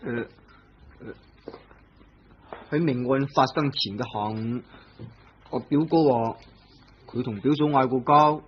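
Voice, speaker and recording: An actor playing the husband's nervous cousin in a vintage radio drama, speaking in a hesitant, anxious way. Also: male voice, mid-range voice, slow pace, long pauses, close to an everyday voice. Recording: dull lo-fi old radio recording with faint hiss.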